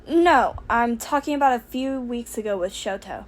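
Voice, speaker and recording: A woman's voice: a little high, animated, normal speed, lightly acted. A woman voicing a brash young professional heroine in an audiobook, casual and sardonic with a caring streak. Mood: casual, fond. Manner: casual, exasperated, emphatic. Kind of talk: audiobook character voice for fan fiction.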